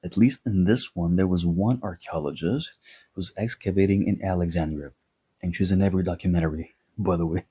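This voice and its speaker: A man from Greece talks through a history commentary in a sardonic, opinionated way. His voice sits low and dark, with a husky, breathy edge. He leans on wry, mocking asides. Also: a little fast. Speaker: history video host.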